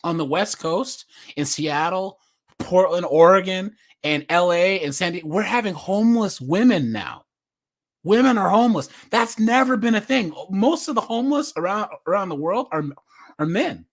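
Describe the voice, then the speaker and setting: An American man with a fairly high voice makes his case forcefully on a live video call. His talk is loose and conversational. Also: expressive, normal speed. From United States. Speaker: live stream participant. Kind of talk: casual group discussion.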